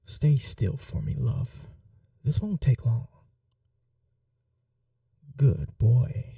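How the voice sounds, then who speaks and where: A cruel yet doting vampire mistress, acted by a woman for an ASMR roleplay. The voice is soft, breathy and often whispered, with slow delivery and swooping, expressive pitch. It has a very dark, velvety tone and coaxes with possessive tenderness. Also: no room sound, distant mic, heavily acted. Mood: longing, tender. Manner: slow, coaxing, tender with pet names. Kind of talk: intimate ASMR roleplay acting.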